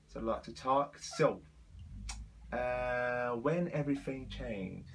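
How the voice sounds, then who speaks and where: A man in his twenties from Brazil with a Brazilian Portuguese accent, speaking halting second-language English as he tells his life story to the camera with many fillers. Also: medium pitch, a bit bright, a little hiss or hum. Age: 20s. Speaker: vlogger.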